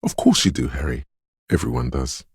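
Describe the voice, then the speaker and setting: Eerie inner voice, video game narration, bottomless bass, brooding, poetic, ominous, very husky, close dry sound. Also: male voice, lively intonation, dry recording, full character voice. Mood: somber, foreboding. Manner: ominous, poetic, deliberate delivery. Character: brooding inner voice of a troubled detective's intuition, eerie and melancholic. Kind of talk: video game voice acting, second-person dramatic narration.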